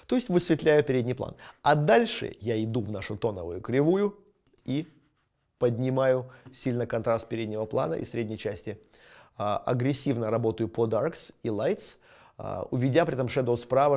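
A man in his thirties, a photography instructor walking through an editing tutorial step by step, often pausing with hesitant fillers. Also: mid-range voice, darkish tone. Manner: methodical, narrating each step with frequent "э" hesitations. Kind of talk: screen-recorded editing tutorial. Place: small studio against a light plain backdrop.

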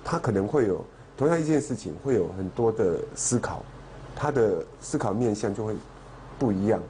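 A middle-aged man from southern Taiwan with a Taiwanese Mandarin accent, speaking reflectively in short, halting phrases. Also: on the low side, expressive. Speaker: film director. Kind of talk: reflective interview talk. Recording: loud music and crowd noise behind.

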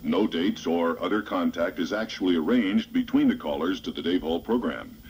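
A man announces in a deep voice, formal and solemn as if reading an official notice. The old radio recording carries faint noise. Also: normal speed, darkish tone. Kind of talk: formal scripted radio disclaimer. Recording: faintly noisy 1970s radio aircheck.

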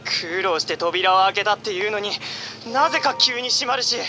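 A man's voice: high voice, normal speed, background noise, lightly acted. An actor playing an exasperated, resentful young male adventurer in an anime game, complaining dramatically. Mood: distressed. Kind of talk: Japanese anime game voice acting.

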